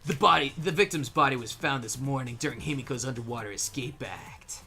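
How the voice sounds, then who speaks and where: Creaky bright-voiced man reading dramatically. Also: medium pitch, a little fast. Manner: jokey, stumbles over lines and breaks off into banter. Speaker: fandub performer reading the game's case summary. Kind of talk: dramatic scripted reading broken by casual banter.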